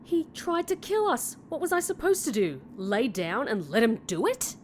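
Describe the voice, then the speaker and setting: A woman's voice: a little high, sing-song, normal speed, a little mellow, slight background noise. A woman playing a wry, defiant, protective heroine in a fantasy comedy audio drama, plain-spoken and sardonic.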